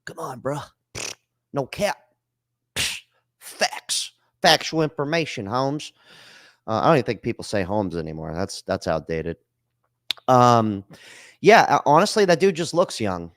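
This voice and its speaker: A man's voice: medium pitch, very animated, measured pace, pauses often, dry recording, studio quality. A man hosting a call-in podcast, talking loosely and bluntly in slang, swearing freely. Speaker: podcast host. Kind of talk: casual chat.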